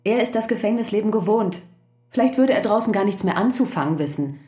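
Woman acting worried wife, reproachful, mellow timbre, mid pitch, naturalistic radio drama. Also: expressive, fast, faint music behind, natural voice.

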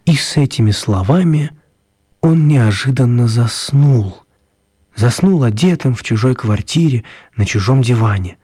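A man's voice: medium pitch, lively intonation, a little slow, dark tone, husky, no room sound, clean studio sound. A man narrating a scripted story for a children's radio play.

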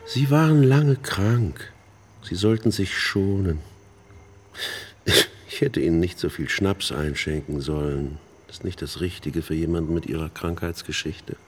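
An actor playing a sinister, smug older man in a radio play, speaking in a raspy voice with a deliberate, insinuating, condescending manner. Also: deep voice, darkish tone, a little hiss or hum. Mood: patronizing, menacing. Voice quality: husky, raspy. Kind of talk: radio drama dialogue.

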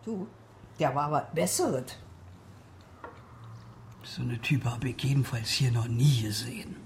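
An actress playing a homely, chatty old widow with a Berlin accent in a radio drama. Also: female voice, a bass voice, expressive, unhurried, darkish tone, full character voice. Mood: motherly, chatty. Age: older. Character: old lonely Berlin war widow, motherly neighbour. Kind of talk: German radio drama acting. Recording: noisy kitchen, clattering dishes.

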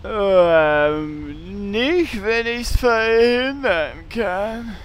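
A performer playing Horst, a naive, clumsy and excitable gamer with a drawling, whiny delivery, in a comedic audio drama parody. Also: medium pitch, drawn-out words, clean tone. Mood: snooty.

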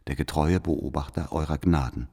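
An actor playing a devout, calculating spy, voicing his letter in formal, deferential, measured phrases in a radio play. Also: very deep voice, vocal fry. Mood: servile, calculating. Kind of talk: letter read as radio drama monologue. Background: faint music.

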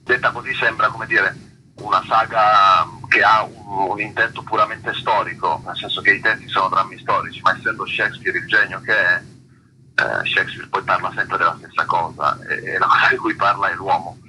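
A man being interviewed about his theatre work, speaking in an articulate, reflective way and searching for words with pauses and repetitions. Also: low-pitched, fairly even intonation, pushed and bright, a little hiss or hum, poor audio.

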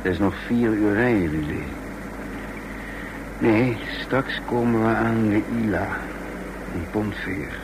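Through heavy noise on an old radio drama recording comes a composed male spy. He lays things out deliberately and calculatingly, as both narrator and character. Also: fairly low pitch. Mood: calm, calculating. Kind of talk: Dutch radio play acting.

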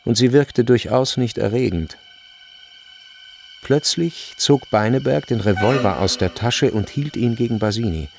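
A man's voice: medium pitch, unhurried, vocal fry, a little mellow. A man narrating a literary radio play from a script. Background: faint music.

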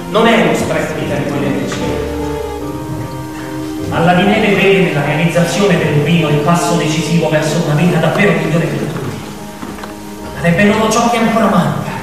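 An actor playing a grave, dramatic male narrator of a farm fable, speaking in deliberate, emphatic phrases as in radio theatre. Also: on the high side, close to an everyday voice. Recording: very echoey hall, distant, music. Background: music.

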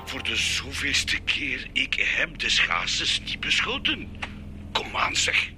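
An actor playing a defensive, exasperated Flemish crime suspect who protests indignantly in a radio drama. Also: male voice, medium pitch, huge pitch swings, slow pace, sharp tone, faint music behind, heavily acted.

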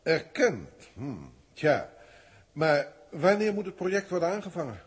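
A detached alien overseer, speaks in a formal, clinical way. His pitch rises and falls in wide swings over a husky, rough tone. It sounds like an early 1960s radio drama. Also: sing-song, measured pace, heavily acted. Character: cold alien overseer testing humans, middle-aged man. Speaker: senior proctor reporting to a galactic council.

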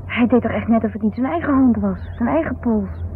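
A woman's voice: a little high, lightly acted. An actress playing an irritable, defensive married woman, bickering in the style of 1970s radio drama. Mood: troubled, puzzled. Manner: bickering, exasperated, quick to retort. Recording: noisy narrow-band 1970s radio drama recording.

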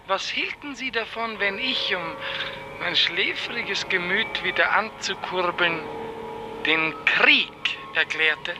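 An actor playing a pompous, capricious, commanding despot in a 1950s radio play. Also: male voice, high-pitched, background noise. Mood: world-weary. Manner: imperious, haughty, commanding. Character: pompous, capricious male despot, a general director in a dream.

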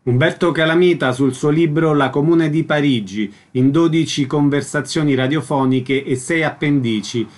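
A man with a fairly high voice works as a radio host. He reads announcements and introduces segments in a presenter's style. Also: normal speed, few pauses.

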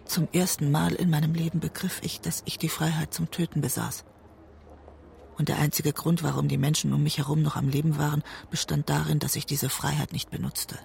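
An actress playing a haunted woman looking back on her marriage, husky and breathy, in grave, restrained audio drama narration. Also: deep voice, level tone, a little fast, darkish tone. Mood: haunted, tense. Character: haunted woman writer recalling a destructive marriage. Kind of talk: literary first-person audio drama narration.

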